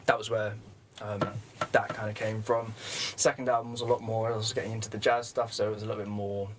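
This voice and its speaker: A man's voice: on the low side, flat delivery, fast talker, a little hiss or hum. A young man, a musician guest who talks casually in a rambling, self-deprecating way and laughs easily. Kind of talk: casual interview chat.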